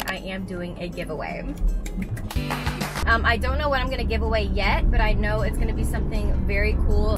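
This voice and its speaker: Chatty young woman vlogging from her car. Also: fairly high pitch. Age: young adult. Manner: chatty, upbeat, frequent "like" fillers. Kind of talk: casual drive-along vlog chat. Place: inside a parked car. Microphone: camera on the dashboard.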